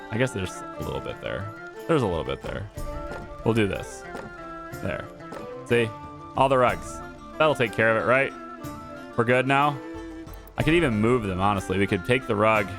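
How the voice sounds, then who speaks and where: A man with a hoarse voice that he says he is losing, thinking aloud in casual let's-play commentary as he plays a game. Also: lowish voice, animated, moderate pace, long pauses. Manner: thinking aloud, muttering to himself and the viewers. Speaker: gaming YouTuber. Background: game music.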